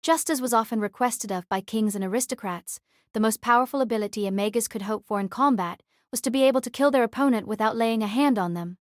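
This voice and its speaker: A woman's voice: on the high side, quick pace, no room sound, clean studio sound. A woman reading scripted story narration as an audiobook narrator.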